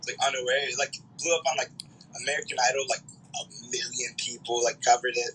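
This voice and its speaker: Rambling young man with a bright voice. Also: medium pitch, fairly even intonation, brisk, slight background noise, rough recording. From Canada. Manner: rambling, swearing, filler-heavy. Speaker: musician guest. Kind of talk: casual interview chat.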